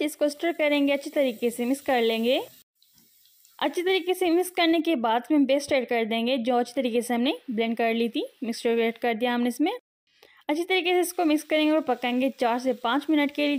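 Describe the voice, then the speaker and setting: A woman host giving a steady, step-by-step voice-over for a cooking video. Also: sits high, a little fast. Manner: steady instructive, repeats cooking steps. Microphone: voice-over, not seen speaking.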